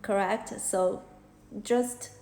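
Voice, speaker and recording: Casual live-stream host, thirties, Chinese-accented English, slow and earnest, in an echoey small room. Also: female voice, mid-range voice, steady tone, darkish tone, a little hiss or hum. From China. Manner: halting, many fillers and restarts, earnest. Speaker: fashion illustrator hosting a live chat. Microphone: phone at arm's length. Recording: roomy echo.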